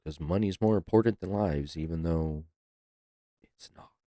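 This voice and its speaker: Low-voiced man reacting casually. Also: normal speed, mellow, no room sound, clean studio sound. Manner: laid-back, swears freely, laughs. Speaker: reaction video host.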